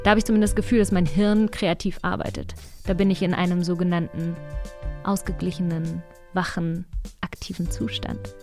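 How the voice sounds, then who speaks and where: A woman's voice: lowish voice, fairly even intonation, normal speed, a little mellow, faint music behind. A woman co-hosting a podcast, talking conversationally and searching for her words. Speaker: podcast co-host.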